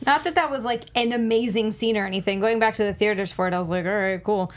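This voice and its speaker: A woman's voice: mid-range voice, fast talker. A woman chatting casually as a panelist on a talk show. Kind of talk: casual chat.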